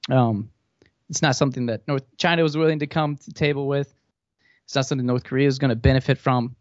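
A man's voice: mid-range voice, no echo. A man who grew up in Thailand, a podcast guest, talking politics casually and rambling with many restarts. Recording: dull lo-fi, dry sound.

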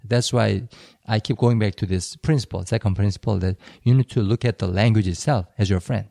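A man co-hosting a podcast, giving advice in a calm, measured way. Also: fairly low pitch, vocal fry, dry recording, studio quality. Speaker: podcast co-host. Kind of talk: casual conversational podcast.

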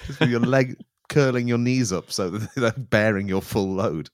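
A man's voice: mid-range voice, normal speed, no room sound, clean studio sound. A man co-hosting a comedy podcast, playful and sweary. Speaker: podcast co-host.

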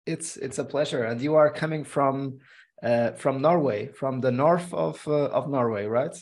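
A man's voice: medium pitch, measured pace, a little mellow. A man hosting a business podcast, asking questions in an upbeat, loose way with many fillers. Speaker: podcast host. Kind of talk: casual interview hosting.

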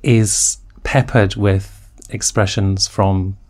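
A man's voice: on the low side, steady tone, slow pace, a little mellow. A man hosting an interview who reads a scripted introduction, then asks thoughtful questions, stumbling and repeating words as he asks them. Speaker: interviewer host.

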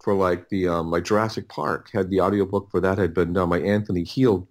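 A middle-aged man with a low-pitched voice answers as a guest in a casual interview. He talks haltingly, repeating words and restarting sentences as he rambles along. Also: steady tone. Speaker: interview guest, audiobook producer.

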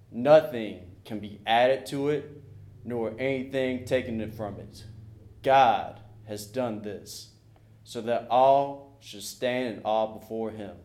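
A man reading a passage of scripture aloud in a church service, slowly and deliberately. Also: lowish voice, a little mellow. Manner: slow, deliberate recitation. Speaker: scripture reader. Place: church sanctuary. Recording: echoey church.

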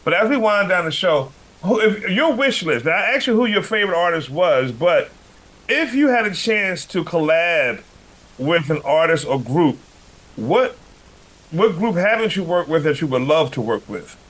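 A man's voice: a little high, big pitch swings, moderate pace, a bit bright, poor audio. A man hosting a radio interview show, asking questions in a chatty way and drifting into his own stories. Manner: chatty, jumps into personal stories.

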